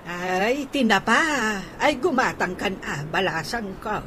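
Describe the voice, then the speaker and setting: A woman with an Ilocano accent playing an aggrieved, nagging older housemaid in a radio comedy drama, full of halting interjections. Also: medium pitch, expressive, close to an everyday voice. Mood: grumbling, gossipy. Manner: halting, peppered with sighing interjections. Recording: noisy background with ambient bird sounds.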